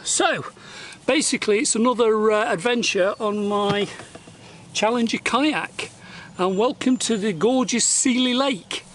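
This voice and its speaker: A man's voice: high-pitched, measured pace, fairly bright tone, reverberant, slight background noise. A man in his forties talking through a casual do-it-yourself vlog, rambling with lots of fillers and restarts, recorded on a camera mic both outdoors by a lake and in a kitchen. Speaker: vlogger.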